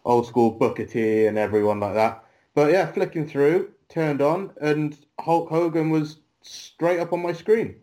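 A British man, a wrestler guest on a podcast, answering in a relaxed, rambling way and often calling the host mate. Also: on the high side, unhurried. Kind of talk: casual interview answers.

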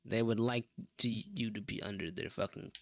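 A man's voice: on the low side, moderate pace, gravelly, no room sound, studio quality. A man co-hosting a casual podcast, blunt and opinionated as he makes his arguments. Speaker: podcast co-host. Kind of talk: casual chat.

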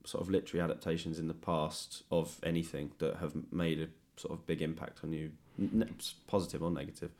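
A man's voice: very deep voice, little pitch movement, quick pace, hardly any pauses, darkish tone. A man hosting a conversational interview, phrasing his questions and thoughts tentatively and carefully. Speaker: podcast host. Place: indoors at a home.

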